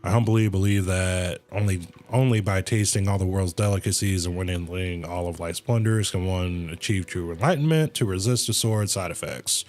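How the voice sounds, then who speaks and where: A man's voice: on the low side, a little mellow, natural voice. A man voicing a pompous, bickering temple guardian from a fantasy game in a theatrical, grandiloquent way. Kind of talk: reading game dialogue aloud.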